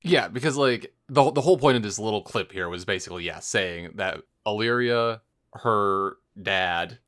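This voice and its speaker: A man with a slightly deep voice co-hosts a casual podcast about games. He speaks informally, in a conversational and joking manner. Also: moderate pace, a bit bright. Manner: informal, jokey. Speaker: podcast co-host. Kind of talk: casual chat about a video game.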